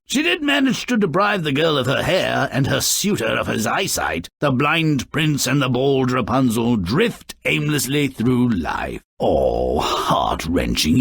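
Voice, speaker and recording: A malicious little dwarf-like grouch from a fairy tale video game. His voice is raspy and fairly high for a man, with a sharp, forceful edge. He mocks everyone in slow, theatrical, sneering storytelling, full of impatient scorn. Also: dry recording, clean studio sound, exaggerated voice. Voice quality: raspy, gravelly. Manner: theatrical, sneering, mocking, ornate vocabulary. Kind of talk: video game character narrating dark fairy tales.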